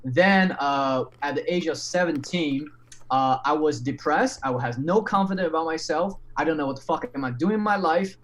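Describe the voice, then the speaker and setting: A man, an English speaker, telling his story as a podcast guest in an animated, candid way with frequent restarts and casual swearing. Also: on the high side, moderate pace. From Taiwan.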